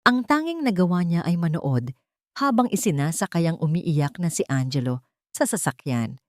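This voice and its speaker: A woman narrates a scripted melodrama. Her voice sits a little low, with a solemn, dramatic delivery. The recording is dry, with no room sound. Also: lively intonation, moderate pace, dry recording.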